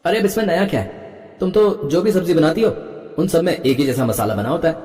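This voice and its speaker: A strongly echoing recording of a man acting a curt, fault-finding cartoon husband. He talks very fast in a slightly high voice, complaining bluntly. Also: very animated, warm tone. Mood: dismissive. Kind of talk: animated family story dialogue. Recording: echoey hall-like reverb.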